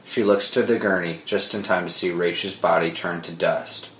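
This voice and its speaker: A man's voice: sits low, fairly even intonation, normal speed, fairly bright tone, slight background noise. A man giving dramatic scripted narration, reading out a screenplay's stage directions. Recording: roomy sound with music underneath.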